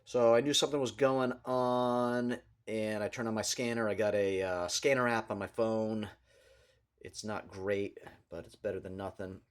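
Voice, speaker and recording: Low-voiced man giving an unscripted local rundown. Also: flat delivery, normal speed, smooth. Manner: hesitant, rambling, matter-of-fact. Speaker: local news vlogger. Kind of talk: unscripted commentary over a map, recapping local news.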